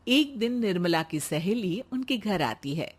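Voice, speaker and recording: A woman narrating a bedtime cartoon story in a scripted, expressive way. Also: medium pitch, unhurried, slightly rough.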